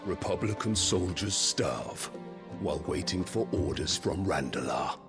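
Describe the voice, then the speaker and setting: An actor playing a stern, blunt war counsellor with a deliberate, biting delivery in a video game cutscene. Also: male voice, low-pitched, lively intonation, over music. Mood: scornful.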